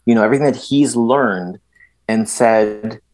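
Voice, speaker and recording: A middle-aged man from El Salvador, a guest in a conversational interview, talking in an animated way with frequent restarts and emphatic asides. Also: on the low side, a little slow, poor audio.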